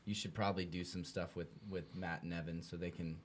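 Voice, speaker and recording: A man's voice: low voice, level tone, a little fast, slight background noise. An American man hosting a podcast with casual, joking banter and informal questions. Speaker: podcast host.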